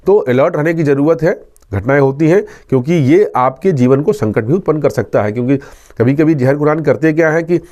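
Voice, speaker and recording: Mellow-voiced man explaining safety advice. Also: on the high side, a little fast. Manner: earnest, instructive, repeats key points. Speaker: railway police inspector being interviewed.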